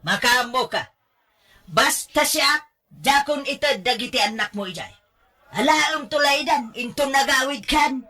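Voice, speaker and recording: A woman acting in a radio drama as an exasperated, scolding grandmother, with a Filipino accent and a drawn-out, complaining delivery. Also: high-pitched, expressive, sharp tone, full character voice. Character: exasperated, scolding mother worried about grandchildren.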